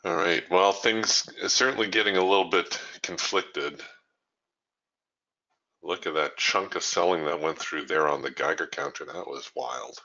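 A man hosting a trading training room, thinking aloud in a relaxed way as he walks through live trades. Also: low-pitched, a little slow, dull audio. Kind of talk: live trading commentary over screen share.

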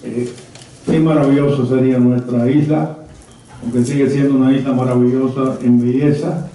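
An elderly man from Havana with a Cuban accent, speaking at a conference and telling personal memories in a deliberate, hesitant way. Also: medium pitch, level tone, mellow, far from the mic, a little hiss or hum. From Havana, Cuba. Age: 70s or older. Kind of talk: personal reminiscence told to a small audience. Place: indoor conference room. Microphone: handheld mic, recorded from a distant camera. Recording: very echoey, muffled room from afar.

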